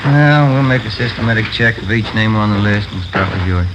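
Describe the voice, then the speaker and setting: A man's voice: lowish voice, fairly quick, natural voice. Man playing casual, matter-of-fact policeman. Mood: casual, businesslike. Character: easygoing, businesslike adult male police officer. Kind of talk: 1950s radio drama acting. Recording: noisy old radio recording.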